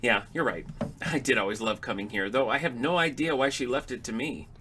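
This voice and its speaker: A man's voice: mid-range voice, a little fast, bright, forceful tone, slight background noise, natural voice. A man plays a middle-aged family dad in a comic audio drama. He grumbles and comments with dry sarcasm, wry and a little uneasy. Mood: wry, reminiscing. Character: wry, grumbling, uneasy father exploring an inherited house. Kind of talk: audio drama comedy acting.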